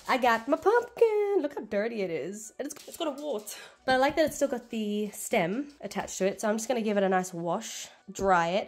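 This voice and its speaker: A woman's voice: fairly high pitch, darkish tone. Chatty woman vlogger, still sick. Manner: bubbly, chatty, laughs often. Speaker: craft vlogger. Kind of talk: casual chatty vlog. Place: home, spare craft bedroom.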